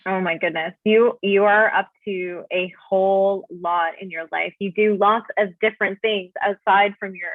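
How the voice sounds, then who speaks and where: A woman's voice: medium pitch, steady tone, normal speed, few pauses, no room sound. Enthusiastic woman host on a tinny line. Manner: enthusiastic, effusive praise. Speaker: interview host. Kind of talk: upbeat interview hosting. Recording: tinny phone-like video call audio.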